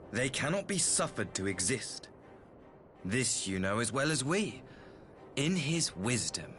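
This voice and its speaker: Video game imperial envoy: courtly and flattering, ornate diplomatic delivery, very lively swooping intonation, music underneath. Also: male voice, medium pitch. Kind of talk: scripted video game voice acting. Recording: music behind the voice.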